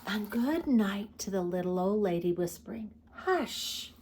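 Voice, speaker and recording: A woman reading picture books aloud to preschoolers as a storytime host, expressive and putting questions to young listeners. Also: medium pitch, normal speed, slight background noise. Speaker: children's storytime host and reader. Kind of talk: read-aloud picture book narration for preschoolers.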